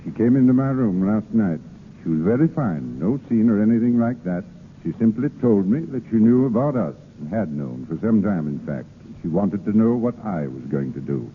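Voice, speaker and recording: A man's voice: fairly low pitch, animated, normal speed, slight background noise, natural voice. Man acting ardent middle-aged boss, tinny old radio. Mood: earnest, troubled. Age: 40s. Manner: earnest, ardent. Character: lovestruck, commanding 46-year-old male shipping boss. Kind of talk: 1930s radio drama acting.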